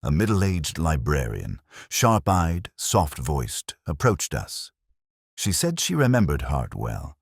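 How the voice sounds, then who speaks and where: A man reading scripted first-person detective narration in a measured, reflective, literary manner. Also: extremely low pitch, huge pitch swings, husky, no room sound, studio quality.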